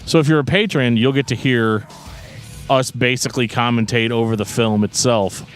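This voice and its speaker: A man with a middling pitch co-hosts a casual podcast over music. He rambles through his explanations in a joking way. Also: steady tone, normal speed. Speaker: podcast co-host.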